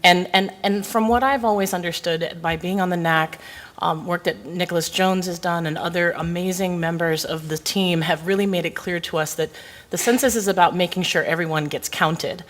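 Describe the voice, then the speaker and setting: A woman's voice: fairly low pitch, fairly even intonation, moderate pace. A woman whose first language is Hawaiian, a committee member pressing an urgent, earnest question at a meeting in long winding sentences. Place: meeting room.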